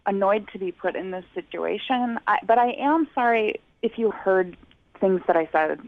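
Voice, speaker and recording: A woman's voice: mid-range voice, animated, moderate pace, no echo. Woman calling into radio, careful hedgy way of talking, dry dull phone sound. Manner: hesitant, tactful, hedging. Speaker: phone-in guest on a radio segment. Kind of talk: candid conversational recounting. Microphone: phone call. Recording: muffled, band-limited phone line.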